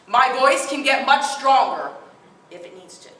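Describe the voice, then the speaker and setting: A woman's voice: sits high, animated, measured pace, a bit bright. A middle-aged woman with a strong voice speaking firmly and directly to a live audience at a parenting workshop. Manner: firm, direct, conversational. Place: room with audience. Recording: echoey room from far off.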